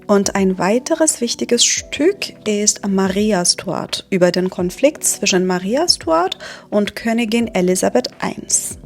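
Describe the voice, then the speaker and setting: A young woman from Iran who teaches German, hosting her channel and presenting books in a clear, measured teaching style with occasional hesitations. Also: mid-range voice, lively intonation, faint music behind. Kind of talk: slow, clear teaching narration for learners.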